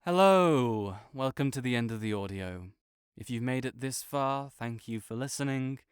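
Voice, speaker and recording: A man's voice: medium pitch, lively intonation, moderate pace, smooth, no room sound, clean studio sound. A man giving a casual, understated, self-deprecating sign-off to his listeners as an audio creator.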